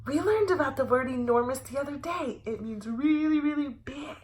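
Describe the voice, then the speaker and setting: A woman reading a picture book aloud to preschoolers as a teacher, with an expressive, playfully wry delivery. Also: high-pitched, moderate pace. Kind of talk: animated picture-book read-aloud for young children.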